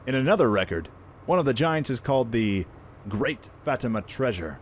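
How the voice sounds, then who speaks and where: A man playing a brash, friendly young pirate prince in an animated, informal way for a fan audio drama. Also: medium pitch, normal speed, a little hiss or hum, natural voice. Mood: proud, explaining.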